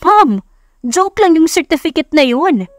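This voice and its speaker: A woman's voice: very high-pitched, very animated, unhurried, no echo, pitched above the performer's own voice, exaggerated voice. Woman doing an irritated, indignant young rich man. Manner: curt, indignant. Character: irritated, incredulous rich man of twenty-nine.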